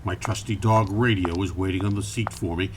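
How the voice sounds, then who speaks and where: An actor playing a hard-boiled, world-weary private eye in a campy noir radio play, with an American accent and a deadpan, clipped manner. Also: male voice, low voice, brisk, background noise, natural voice. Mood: wry narration. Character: hard-boiled, wry male private eye narrating his case. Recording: music behind the voice.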